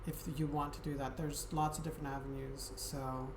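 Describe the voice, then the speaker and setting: A woman streamer chatting casually with her viewers in a soft, friendly, hesitant way, with frequent ums. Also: a bass voice, brisk. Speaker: Twitch streamer. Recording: echoey room with steady background noise.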